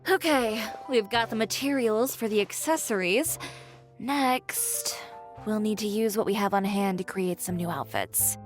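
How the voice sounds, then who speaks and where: Woman voicing young fashion designer lady in a game, composed n blunt, very driven, middle pitch, bit of music under. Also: unhurried, close to an everyday voice. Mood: determined. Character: young female fashion designer, cool, blunt, fiercely determined. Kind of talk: video game character acting.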